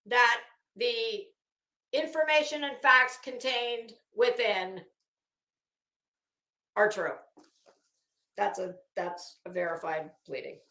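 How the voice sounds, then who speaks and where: High-pitched, theatrical woman streamer. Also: a bit bright. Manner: theatrical, sarcastic. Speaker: livestream host. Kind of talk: dramatic reading with off-the-cuff commentary. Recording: echoey, roomy sound.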